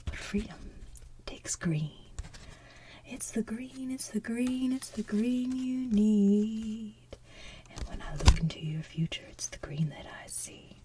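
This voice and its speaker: A woman voicing a sly male voodoo con-man from an animated musical, softly half-singing in a slow, coaxing, breathy delivery. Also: fairly high pitch, slight background noise. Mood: coaxing, scheming. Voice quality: soft, breathy, half-whispered. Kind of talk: softly sung animated musical villain song.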